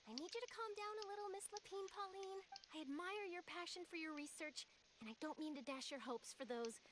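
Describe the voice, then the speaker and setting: A woman's voice: very high-pitched, a little fast. A woman playing an earnest, chirpy young female news reporter in an anime-style video game. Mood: earnest, explaining. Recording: noisy game stream capture.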